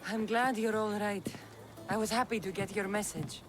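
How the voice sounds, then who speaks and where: A woman's voice: mid-range voice, fairly even intonation, moderate pace, over music, natural voice. A woman playing a tough, determined Greek mercenary in dramatic video game dialogue, firm and resolute. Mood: calm, serious.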